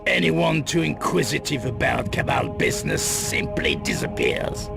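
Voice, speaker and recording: An actor playing a grim, guarded middle-aged crime boss in a video game cut-scene, with a gravelly voice and grave, deliberate delivery. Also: male voice, medium pitch, wildly swooping pitch, exaggerated voice. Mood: grave, ominous. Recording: loud music under the voice.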